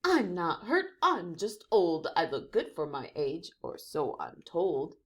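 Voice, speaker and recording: A woman's voice: on the low side, wildly swooping pitch, takes it slowly, full character voice. A woman doing a sing-song old mummy teacher. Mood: cheerful. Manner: sing-song rhyming delivery. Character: old female mummy teacher, kindly, rhyming.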